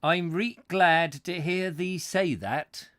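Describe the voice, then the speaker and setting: A man plays a friendly northern English townsman, folksy and proud of his town. The voice is pitched up and warm in manner, like a character in an audiobook, with a dry sound. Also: slow pace, dry recording. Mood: warm, pleased. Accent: put-on northern English.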